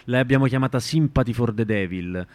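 A man's voice: medium pitch, fairly quick, darkish tone, dry recording, clean studio sound. A man hosting a radio show, interviewing in an informal, encouraging and chatty way. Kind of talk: casual interview chat. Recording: clear background noise with clicks.

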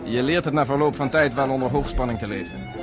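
An actor playing a self-assured, courteous resistance fighter with a dry edge, in radio drama dialogue. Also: male voice, mid-range voice, quick pace, close to an everyday voice. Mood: wry, alert. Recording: radio play with loud music, crowd.

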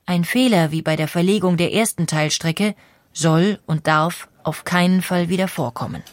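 A woman reading a scripted documentary narration in a clear, explanatory way. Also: fairly low pitch, moderate pace.

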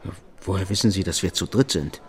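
An actor playing an uneasy, defensive architect in a naturalistic radio drama, answering in short, evasive lines. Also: male voice, deep voice, quick pace, natural voice. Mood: wary, defensive. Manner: short, evasive questions and replies. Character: uneasy, defensive male architect caught in a bind.

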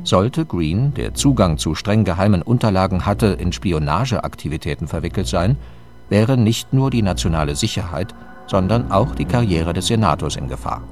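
A man's voice: deep voice, animated, normal speed. A man narrating a scripted recap as the announcer of a German radio drama. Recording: music behind the voice.

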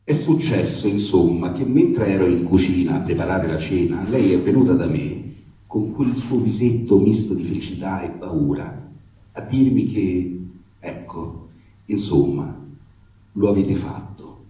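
A man playing an anxious, protective, foul-mouthed father in a comic monologue, halting and colloquial but emphatic. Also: sits low, animated, warm tone, slight background noise. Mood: flustered. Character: anxious, protective, foul-mouthed father addressing his daughter's young boyfriend. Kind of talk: theatrical reading of a comic monologue letter. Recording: echoey room, distant microphone.

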